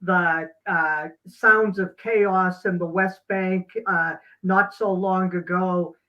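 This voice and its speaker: Male medical guest, measured and halting, slow delivery, muffled call audio. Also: high voice, steady tone. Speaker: doctor and company co-founder, guest. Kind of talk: interview explanation.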